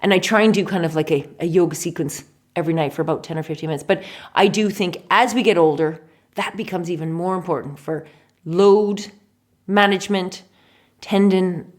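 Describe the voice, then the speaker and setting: A woman in her forties from Canada, a physiotherapist guest telling stories in a chatty, animated way in a casual interview. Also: lowish voice, a little fast. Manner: chatty, animated storytelling with laughs.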